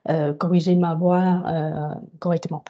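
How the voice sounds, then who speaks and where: A woman's voice: deep voice, little pitch movement, unhurried. A woman with a cold, a consultant starting a webinar presentation, hesitant with many fillers. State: has a cold. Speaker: market expansion consultant. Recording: muffled lo-fi video call audio.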